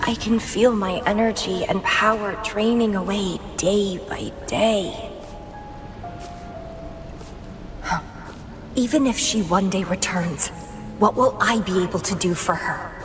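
An actress voicing a proud, obsessive young female warrior in a video game, with a theatrical, brooding, deliberate delivery. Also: mid-range voice, lightly acted. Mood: bitter brooding. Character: proud, obsessive young female warrior, battle-hungry, fighting her own decline. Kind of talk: dramatic video game voice acting. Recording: long reverb, music behind. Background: game music.

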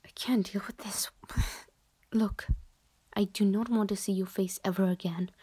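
A young woman voicing an irritated young woman who bluntly rebuffs a persistent admirer, with sarcasm and frequent breathy whispers. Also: medium pitch, fairly even intonation, normal speed, warm tone, lightly acted. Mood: weary. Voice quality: often drops to a breathy whisper. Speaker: voice roleplayer. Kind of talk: improvised audio roleplay.

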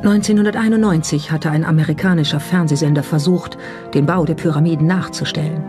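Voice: mid-range voice, steady tone, quick pace. A documentary narrator reading a scripted narration. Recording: music under the narration. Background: music.

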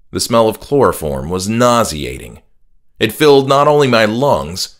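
A man reading a scripted first-person horror story as an audiobook narrator, in a measured, dramatic way. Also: lowish voice, fairly bright tone. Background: music.

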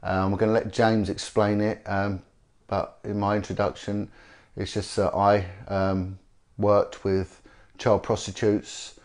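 A middle-aged man from with a slight cold, interviewing a guest in a living room, speaking haltingly with many fillers. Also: fairly low pitch, little pitch movement, a little mellow. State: has a cold. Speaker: interviewer, former police detective. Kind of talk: sit-down interview. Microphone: lapel mic.

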